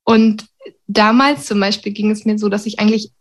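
Woman, middle-aged, from Berlin, medium pitch, candid, reflective, personal interview storytelling. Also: fairly even intonation, normal speed. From Berlin, Germany. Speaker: interviewee, university secretary with a doctorate.

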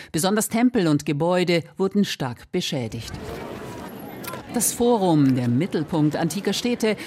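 A woman's voice: deep voice, darkish tone. Female reporter voice for scripted radio features, narrating reportage in a medium register.

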